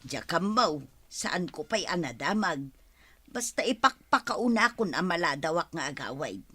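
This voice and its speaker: A breezy, trusting woman with an Ilocano accent and a bright, forward voice. She is keen on earning and speaks in the style of a radio comedy-drama. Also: on the low side, big pitch swings, measured pace, husky, heavily acted. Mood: curious, agreeable. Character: breezy, eager, trusting woman taking delivery jobs.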